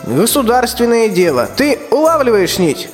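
A woman's voice: on the high side, sing-song, moderate pace, a little mellow. A young woman playing a scrawny, spiteful, bossy tsar in a rhymed fairy-tale radio play, speaking in an imperious, theatrical way. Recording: reverberant hall with music.

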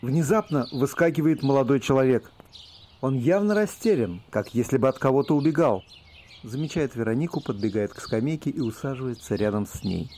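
Male narrator for a radio play, medium pitch, dark mellow tone, scripted scene-setting. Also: expressive, a little fast, slight background noise. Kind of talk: scripted stage-direction narration of an audio play.

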